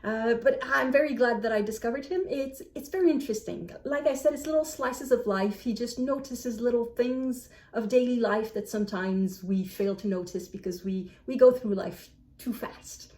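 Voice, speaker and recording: A woman book vlogger chatting casually to camera in an animated, self-deprecating way. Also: on the high side, normal speed. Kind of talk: casual chat to camera, answering book prompts. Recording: echoey room, distant microphone.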